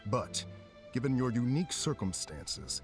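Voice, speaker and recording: An actor playing a stern, formal law officer with authoritative, measured wording in a game dub. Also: male voice, mid-range voice, very animated, music in the background. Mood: stern, lenient. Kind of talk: English-dubbed game acting.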